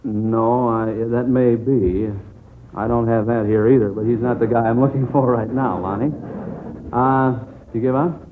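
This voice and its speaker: A man's voice: medium pitch, fairly even intonation, a little slow, dark tone. A man hosting a 1940s radio quiz as substitute quizmaster, chatting with children in a folksy, chummy way. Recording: tinny, noisy old radio broadcast.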